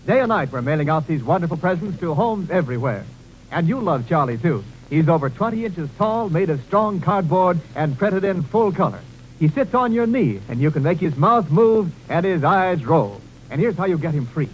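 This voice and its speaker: A man's voice: fairly high pitch, sing-song, normal speed, muffled recording, slight background noise. A man reading a scripted 1930s radio commercial as announcer, with an emphatic sales delivery. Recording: vintage 1930s radio broadcast recording.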